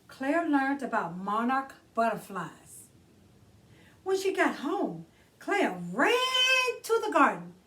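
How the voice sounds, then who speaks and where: A woman reads a children's story aloud as a storytime host, with a high voice that swings widely in pitch. Her delivery is slow and deliberate, leaning on key words with drawn-out emphasis. She sounds slightly distant from the microphone.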